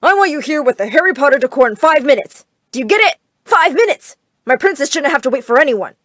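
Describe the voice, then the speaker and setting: A woman's voice: fairly high pitch, normal speed, fairly bright tone, no echo, clean studio sound, natural voice. A woman voicing a middle-aged father who spoils his daughter and barks demands down the phone. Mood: bossy.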